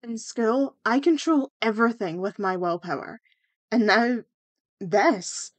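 A woman voicing a haughty, self-satisfied teenage girl in a comic fan dub, with a dismissive, deliberate delivery. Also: fairly high pitch, no echo. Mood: smug.

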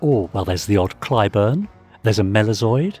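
A man presents a podcast in a chatty, jokey style. His voice is a bit rough and swings animatedly in pitch, with a theatrical touch. Soft music plays behind him. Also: expressive, moderate pace. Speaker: podcast co-host.

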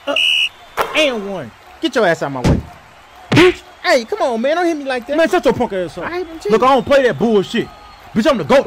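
Animated high-pitched man talking profane trash. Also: a little hiss or hum. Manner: profane trash talk, exclamatory. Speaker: comedy voiceover creator. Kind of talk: improvised-sounding comic sports voiceover.

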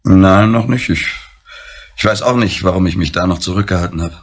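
An actor playing a blunt, probing, sceptical reporter with a gravelly, husky voice in a crime drama. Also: male voice, low-pitched, brisk, slight background noise. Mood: cool, blunt. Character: blunt, sceptical male reporter sizing up a detective.

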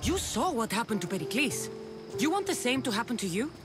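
A woman's voice: fairly high pitch, big pitch swings, a little fast, over music. A woman playing a determined young mercenary warrior in a video game cutscene, giving firm, urgent commands.

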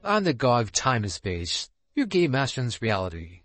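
A man's voice: medium pitch, sing-song, normal speed, a bit bright, faint music behind. A man voicing a solemn god of time and space, formally announcing the rules as game master in a visual novel. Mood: solemn, commanding. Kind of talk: reading visual novel dialogue aloud.

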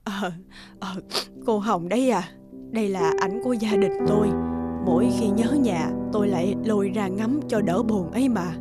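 A woman's voice: mid-range voice, moderate pace, loud music behind the voice, natural voice. A woman playing a meek, kindly older housemaid in a cartoon, speaking hesitantly and deferentially. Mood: gentle. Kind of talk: cartoon dubbing.

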